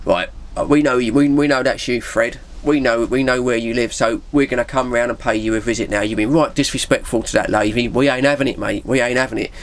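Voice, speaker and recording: Man doing a low, officious teenage tough. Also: steady tone, a little fast, few pauses, natural voice. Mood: menacing. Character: self-styled CB police tough, menacing older teenage lad.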